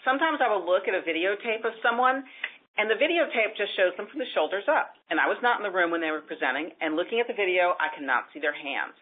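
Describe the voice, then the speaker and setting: A woman presentation coach giving lively, emphatic advice to a caller. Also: mid-range voice, fast talker. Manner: lively, emphatic, gives examples. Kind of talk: call-in advice show. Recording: tinny phone line.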